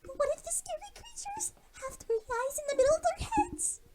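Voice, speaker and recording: A woman's voice: very high-pitched, expressive, moderate pace, a little mellow, voice pushed up. A woman voicing a timid, terrified young female pony with a thin, shaky, stammering voice. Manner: stammering, fearful.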